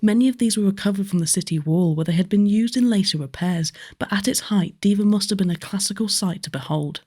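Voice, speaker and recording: A woman's voice: medium pitch, fast, warm tone. A woman with a British accent, giving scripted narration as a history tour presenter. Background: background music.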